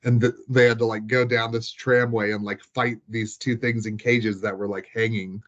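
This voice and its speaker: A man's voice: on the low side, little pitch movement, normal speed. A man chatting casually as he recounts tabletop game sessions, rambling and peppering his talk with "like". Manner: rambling, frequent "like" as filler. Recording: dull, lo-fi sound.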